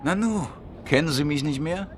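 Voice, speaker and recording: A man's voice: on the high side, normal speed, slight background noise, close to an everyday voice. A man playing a working-class man in his forties in a radio play, casually probing with an air of familiarity. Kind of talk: naturalistic radio drama dialogue.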